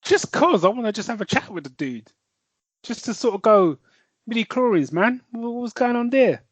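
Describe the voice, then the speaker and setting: A man's voice: sits high, big pitch swings, normal speed, dry recording, highs cut off. Chatty man, dry close mic. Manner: rambling, self-correcting, laughs easily. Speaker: podcast co-host and novelist. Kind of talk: casual podcast chat.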